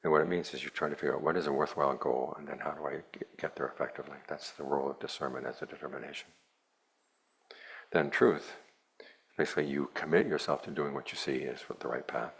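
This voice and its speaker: A man, a Buddhist monk, giving a plainspoken, measured dharma talk to a retreat group with a dry, wry touch. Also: a bass voice, fairly even intonation, vocal fry, husky.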